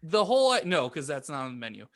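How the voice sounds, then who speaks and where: A man's voice: on the high side, sing-song, fast. A man chatting casually as a podcast co-host, rambling and hesitant as he circles back on what he says. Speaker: pizza shop worker. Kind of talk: casual group chat.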